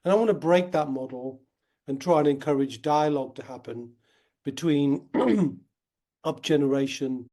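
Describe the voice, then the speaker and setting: A man talks through his ideas in an earnest, steady interview manner. His voice is dark and mellow, with a slight croak left over from a cold. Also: a little high, normal speed. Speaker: guest, wealth company founder. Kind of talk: conversational interview.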